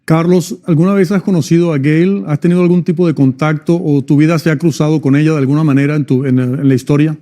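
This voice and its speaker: Quick interpreter man, mellow voice. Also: on the high side, steady tone. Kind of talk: simultaneous interpreting.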